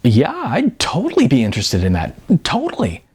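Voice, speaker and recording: A man's voice: medium pitch, huge pitch swings, normal speed, a little mellow, slightly rough, full character voice. A man voicing an edgy, defensive young aspiring musician in an audiobook, insistent and stammering. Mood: eager.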